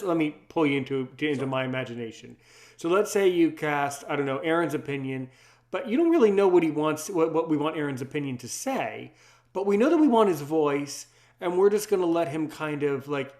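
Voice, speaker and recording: Higher-voiced man, effusive interview host. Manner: effusive, wordy, circling around questions. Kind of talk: casual interview chat.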